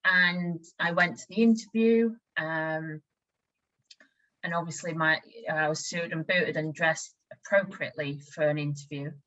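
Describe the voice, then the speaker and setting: A woman with a Yorkshire accent speaks frankly about her own experience. Her voice sits a little low, and her delivery is plain and conversational, like an interviewer trading stories. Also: steady tone, measured pace, a bit bright, lo-fi sound. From West Yorkshire, England. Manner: frank personal storytelling.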